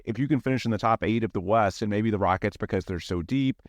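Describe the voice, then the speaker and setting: A low-pitched male podcast host who explains sports matters in a measured, orderly way. The voice is close and dry, with no room sound. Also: no room sound. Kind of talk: podcast interview, sports chat.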